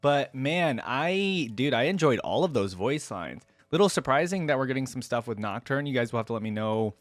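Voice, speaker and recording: A man's voice: mid-range voice, lively intonation, normal speed, dry recording. A man giving casual, enthusiastic reaction commentary as a host, with slangy fan talk.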